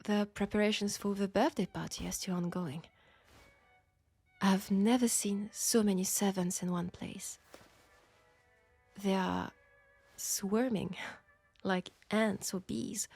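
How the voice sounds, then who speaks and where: A woman playing a candid, proud French seamstress in a steampunk audio drama, speaking with a French accent in a formal, deliberate way. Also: mid-range voice, a little mellow, slightly rough. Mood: quietly fascinated. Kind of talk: intimate scripted audio roleplay.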